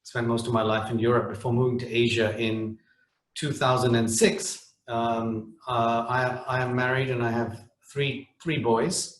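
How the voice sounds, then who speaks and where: A man's voice: medium pitch, echoey room, poor audio. A middle-aged man from the Netherlands speaking on a webinar panel, hesitant, with many restarts and fillers.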